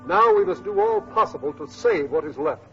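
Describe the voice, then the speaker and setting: An actor playing an earnest, idealistic male community leader in a radio drama, speaking deliberately and anxious for the future. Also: on the high side, wildly swooping pitch, creaky, a bit bright, heavily acted. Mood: preaching, solemn. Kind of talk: 1950s radio drama acting. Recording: muffled old radio recording, music behind.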